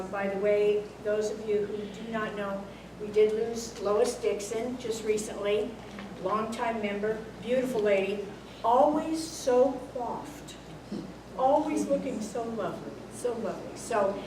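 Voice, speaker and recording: A woman in her sixties, a retired teacher, speaking loudly and slowly as a committee head at a club meeting. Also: a little high. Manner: slow, loud-voiced, speaks without a microphone. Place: meeting hall. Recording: echoey hall, voice from far off, background noise.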